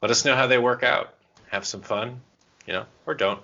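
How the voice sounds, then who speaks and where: A man's voice: medium pitch, moderate pace, bright, forceful tone, muffled recording. A man co-hosting a podcast in casual chat, joking and self-deprecating. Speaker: podcast co-host.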